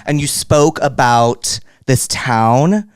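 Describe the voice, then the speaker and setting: A man's voice: medium pitch, takes it slowly, smooth, no echo, studio quality. A man hosting a casual podcast chat, eager and gushing. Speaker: host.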